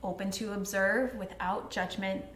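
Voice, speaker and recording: A woman teaching yoga nidra, guiding relaxation in a soothing, unhurried, gently instructing way. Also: medium pitch, fairly even intonation, slight background noise. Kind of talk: guided relaxation meditation. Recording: roomy echo with music behind. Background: meditation music, musical instrument.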